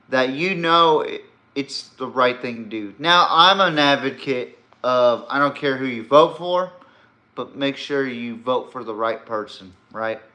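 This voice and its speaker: Male podcast host, unhurried delivery with a bright, forceful edge; blunt, rambling, opinionated. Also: mid-range voice. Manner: rambling, blunt, profane, emphatic. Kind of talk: casual opinionated sports and politics commentary.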